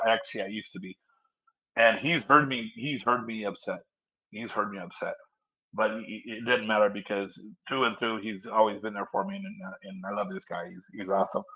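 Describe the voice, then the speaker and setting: A middle-aged man from Southern California chats in a voice room, earnest and quick to restart his sentences. His voice is bright and forward, and the audio has the dull lo-fi sound of online voice chat. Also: on the low side. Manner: earnest, talks in bursts with restarts. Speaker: voice chat participant. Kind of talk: casual chat.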